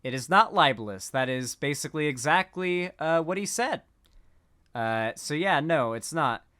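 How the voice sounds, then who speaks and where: A man's voice: fairly high pitch, animated, moderate pace, sharp tone, clear, resonant tone. A man giving casual political commentary, rambling with frequent restarts.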